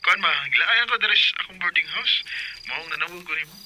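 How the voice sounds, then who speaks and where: A man's voice: high-pitched, fast talker, sharp tone, exaggerated voice. A man playing a playful young man calling from his boarding house over the phone in a radio drama. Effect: phone call. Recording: noisy phone line from far off.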